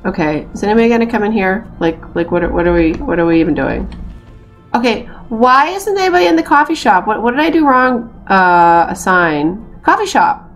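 A woman's voice: medium pitch, sing-song, a little fast, faint music behind. Chatty Boston woman streaming a game. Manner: chatty, exasperated, talks to herself while playing. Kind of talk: casual gameplay commentary. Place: small room indoors. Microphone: headset.

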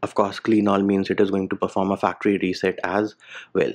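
A man narrating a screen-recorded software tutorial, explaining each step plainly with casual asides. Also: sits low, level tone, fairly quick. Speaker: tech tutorial host. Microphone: voice-over over screen capture.

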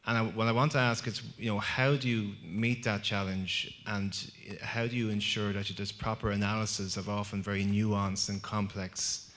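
A man's voice: lowish voice, little pitch movement, moderate pace, fairly bright tone. A man asking a long question from the floor at a conference, rambling and searching for words. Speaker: audience questioner. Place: conference function room.

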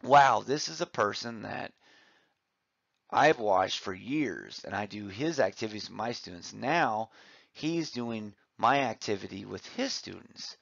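A man's voice: on the low side, lively intonation, a little slow, creaky, a bit bright, dry recording. A man, a physical education teacher, giving an informal, conversational presentation with enthusiasm, recorded slightly muffled. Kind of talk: informal conference presentation over slides. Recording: slightly muffled, dull audio.

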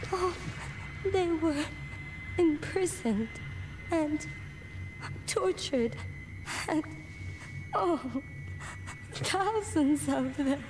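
An actress playing a defiant young female spirit medium in a video game cutscene, speaking in halting, breathless phrases. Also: sits high, animated, full character voice. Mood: horrified trance. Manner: slow, halting, breathless delivery. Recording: noisy old game cutscene audio.

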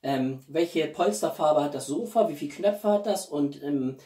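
A man in his forties chatting casually as a video blogger, with halting speech and enthusiastic asides. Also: on the high side, warm tone. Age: 40s. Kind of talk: casual chatty vlog presenting gifts. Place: small living room, indoors. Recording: roomy echo, distant microphone.

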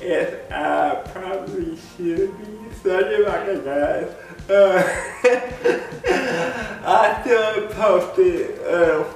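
Young man, congested, slow halting chat, laughs a lot, echoey room, far from the mic. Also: high voice, gravelly, faint music behind. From United States. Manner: rambling, halting, laughs often. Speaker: vlogger. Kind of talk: casual chat to camera. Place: living room with big windows. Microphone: camera across the room.

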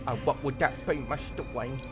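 A man's voice: medium pitch, normal speed, vocal fry, natural voice. A man voicing a polite, fretful elderly butler in an improvised comedic fan dub, deferential and worried. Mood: puzzled. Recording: loud music over the voice.

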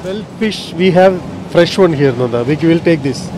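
Over loud ambient noise, a man with a South Indian accent chats casually in a fairly high voice. He points things out in short, plain remarks and often tags a little question on the end. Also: animated, normal speed. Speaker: vlogger shopping with a young companion. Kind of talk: casual walk-through commentary. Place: busy supermarket seafood and produce aisles.

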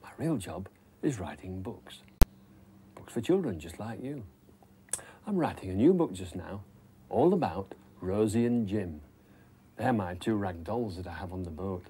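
Low-voiced man talking to young children. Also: animated, unhurried, pauses often, dark tone, a little hiss or hum. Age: middle-aged. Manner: thinks aloud, addressing viewers simply. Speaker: children's TV presenter and storyteller. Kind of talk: gentle scripted chat to young children. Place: wood-panelled narrowboat cabin.

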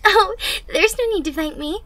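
A young woman playing a caring, encouraging teenage superhero in training, speaking gently and earnestly in an anime-inspired ASMR roleplay. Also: very high voice, moderate pace, no room sound. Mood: upbeat, encouraging. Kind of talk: ASMR roleplay, acted monologue to listener. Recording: noisy ambience with birdsong. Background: birdsong, outdoor ambience.

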